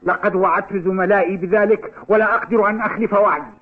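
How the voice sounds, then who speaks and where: An actor playing a determined, earnest schoolboy who loves football, polite but insistent, in a dubbed cartoon. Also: male voice, high voice, steady tone, fast talker. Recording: tinny band-limited audio with music behind.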